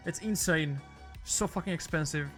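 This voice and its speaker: A man's voice: a little high, normal speed, over music. A man from Portugal chatting loosely on a live game stream, joking, swearing freely, and making playful meowing noises. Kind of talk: casual gaming stream chat.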